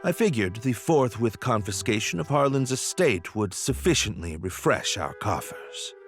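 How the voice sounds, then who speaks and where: A man's voice: on the low side, very animated, normal speed, soft background music. A man playing a self-assured, shrewd royal strategist in a video game, speaking in formal, eloquent phrases. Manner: formal, eloquent, smooth-talking. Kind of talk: dramatic game cutscene acting.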